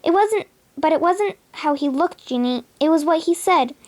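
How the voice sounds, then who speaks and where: A woman's voice: high voice, expressive, moderate pace, a little mellow. A young female reader voicing a kind, spirited, romantic young princess in a children's storybook, in an earnest storytelling manner.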